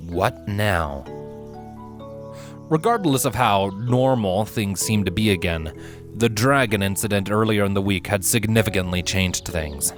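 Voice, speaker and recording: A man reading scripted fantasy fan fiction aloud as a narrator, in measured, expressive storytelling. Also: fairly low pitch. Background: background music.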